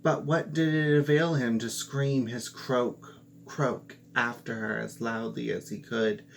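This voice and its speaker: Slow, expressive male storyteller. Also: medium pitch, vocal fry, slight background noise. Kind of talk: reading a fairy tale aloud.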